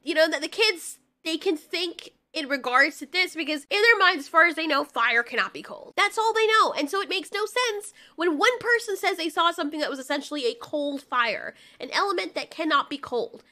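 A young woman giving a chatty, sarcastic commentary on an animated film as a video reviewer. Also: very high-pitched, a little fast, few pauses.

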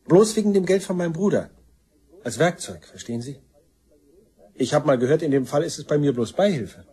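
An actor playing a resentful, self-excusing murder suspect in a crime radio play, talking in a colloquial, defensive way. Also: male voice, on the high side, expressive, a little fast, dark tone, husky.

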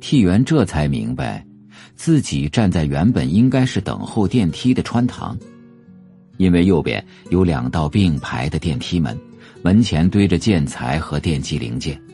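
Male narrator for audio fiction: expressive range, measured and atmospheric, soft music underneath. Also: low voice, creaky. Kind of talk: scripted audio drama narration of a novel.

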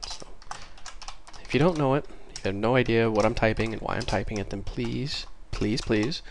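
Gravelly, congested man teaching code. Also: medium pitch, moderate pace, a little hiss or hum. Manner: chatty, easily excited, thinks aloud while typing. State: congested from a cold. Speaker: programming tutorial host. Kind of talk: casual screen-recorded coding tutorial.

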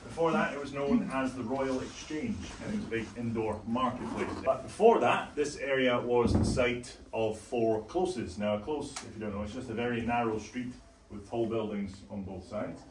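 A man guides a group indoors and explains things in a measured way. His voice is caught from a distance, so it sounds roomy and faint. A little background noise runs beneath it. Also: mid-range voice. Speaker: tour guide. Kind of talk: guided tour talk.

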